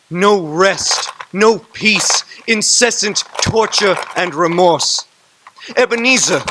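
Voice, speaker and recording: A tormented booming ghost. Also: male voice, noisy background. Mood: mournful. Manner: solemn, booming warnings. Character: Marley's ghost, tormented dead man. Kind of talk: radio drama acting.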